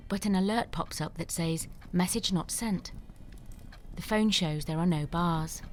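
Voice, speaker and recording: A woman's voice: lowish voice, a little mellow. A woman narrator reading screenplay scene descriptions and stage directions as scripted narration, over music.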